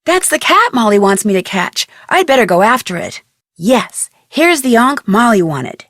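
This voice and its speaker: A young American amateur detective for an adventure game. She is inquisitive and chirpy, with a high, lively voice that rises and falls as she puzzles things out loud. The sound is close and dry. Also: moderate pace, no room sound. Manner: clearly enunciated, inquisitive musing to herself. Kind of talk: scripted adventure game character lines, thinking aloud.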